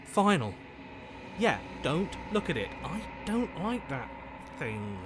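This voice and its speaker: An actor playing a timid, frightened inner voice in a game, hesitant and pleading. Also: male voice, fairly high pitch, sing-song, slow, background noise. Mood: pleading, dread. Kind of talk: dramatic game voice acting.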